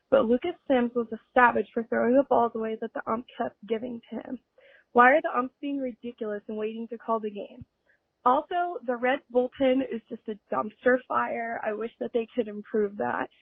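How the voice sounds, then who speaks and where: An American woman leaves a casual phone message in a highish voice with a good deal of vocal fry. She is blunt, slangy and opinionated. The line is thin and tinny, like a voicemail. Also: no room sound. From Ohio, United States. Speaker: voicemail caller, baseball fan.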